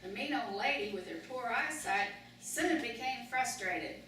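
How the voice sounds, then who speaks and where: A woman's voice: on the high side, lively intonation, unhurried, sharp tone. Woman storyteller in a very echoey room. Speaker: puppet show narrator. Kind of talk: storybook narration for children, live. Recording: echoey noisy room, distant mic.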